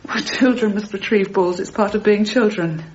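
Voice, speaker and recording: A woman's voice: mid-range voice, normal speed, a little mellow, close to an everyday voice. Actress as a guarded landlady, slightly muffled recording. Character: reserved, polite but prickly female landlady. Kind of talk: naturalistic radio drama acting.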